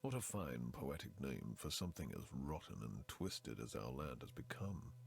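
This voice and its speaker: An actor playing a world-weary, brooding narrator of a ruined fantasy land in a video game intro, grave and theatrical, with hushed word endings. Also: male voice, extremely low pitch, big pitch swings, normal speed, gravelly, breathy edge, exaggerated voice. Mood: ominous, bitter. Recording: loud music under the voice.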